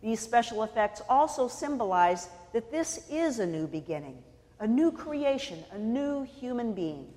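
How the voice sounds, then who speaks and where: A woman from California preaching a sermon in a measured, deliberate way, pausing for emphasis. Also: a little high, sing-song, warm tone, big-room sound. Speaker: pastor.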